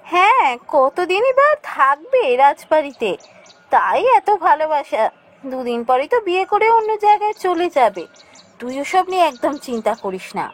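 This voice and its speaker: A woman's voice: high voice, big pitch swings, moderate pace. A woman voicing a scheming, jealous younger queen in a fairytale cartoon, speaking in an insinuating, emphatic way. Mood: conspiratorial, dismissive. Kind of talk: Bengali fairytale cartoon voice acting.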